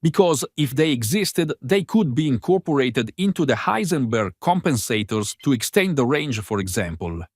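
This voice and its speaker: Man as analytical crewman, deliberate and expressive, middle pitch, dry sci-fi audio drama. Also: slightly rough, no room sound. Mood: reasoning. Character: analytical male crewman reasoning through a puzzle.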